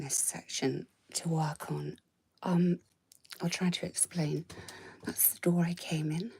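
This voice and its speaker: A woman with a croaky, mostly whispered voice, giving a casual, hesitant tour of the attic she is renovating, filmed on a handheld camera. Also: on the low side. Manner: soft, hesitant, trailing off with ums. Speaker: home renovation vlogger. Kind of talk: casual walk-through tour. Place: indoors in a cramped attic.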